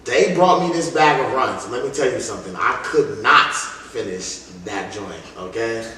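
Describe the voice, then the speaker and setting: A man's voice: medium pitch, measured pace. A man being interviewed as a music artist, talking casually in slangy, emphatic stories. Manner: animated, emphatic, slangy storytelling. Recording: very echoey room, distant mic, faint noise.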